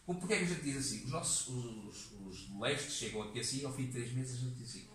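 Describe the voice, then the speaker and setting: A man in his fifties with a European Portuguese accent, giving a halting classroom lecture full of fillers, recorded from across the room. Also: mid-range voice, expressive, a bit bright, a little hiss or hum. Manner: halting, many fillers and restarts. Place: community classroom with seated audience. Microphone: far camera in the room. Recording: echoey room, voice from a distance.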